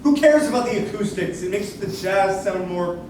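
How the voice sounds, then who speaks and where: A man's voice: high voice, lively intonation, moderate pace, darkish tone, slight background noise. A young man playing an earnest, anxious, nostalgic mall manager in a staged reading of a musical, heard from far off. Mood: pleading, surprised. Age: young adult. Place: large echoey room, recorded from far off. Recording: distant, very echoey hall stage recording.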